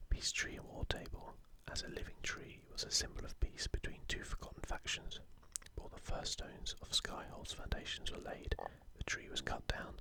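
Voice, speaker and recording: A man's voice: extremely high pitch, poor audio. Man whispering ASMR commentary. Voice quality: breathy, unvoiced whisper. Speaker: ASMR video host.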